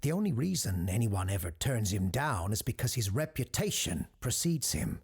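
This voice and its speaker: A male actor plays a learned doctor hosting a radio show in an audio drama. His voice is low and very husky, rising and falling expressively. He is careful and earnest, often hesitating as he thinks. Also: big pitch swings, normal speed, exaggerated voice. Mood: alarmed, earnest.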